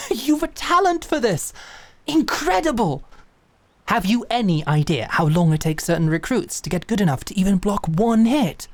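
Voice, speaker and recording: A woman acting in an audio drama as a calm, commanding knight who mentors with measured, deliberate instruction and encouragement. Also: mid-range voice, no room sound. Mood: excited, amazed. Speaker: voice actor.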